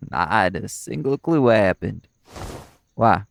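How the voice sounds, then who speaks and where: Man acting a gravelly, deep, guarded pack alpha. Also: lively intonation, unhurried. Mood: dismissive. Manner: folksy, stonewalling, stiffly polite. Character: guarded, defiant male werewolf pack alpha. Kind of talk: audio roleplay acting.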